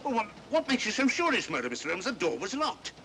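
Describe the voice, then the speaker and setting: An actor playing a skeptical, needling English police inspector with a British accent and a brusque manner in an old mystery film. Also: male voice, sits high, wildly swooping pitch, fast talker, full character voice. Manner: brusque, challenging. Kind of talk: 1940s mystery film acting. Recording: noisy old film soundtrack.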